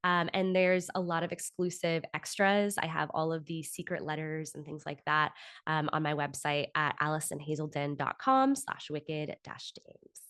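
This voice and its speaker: Low-pitched American woman, dry close sound. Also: normal speed, fairly bright tone, smooth, no echo. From Florida, United States. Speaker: podcast guest, author and actor. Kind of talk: conversational interview answers.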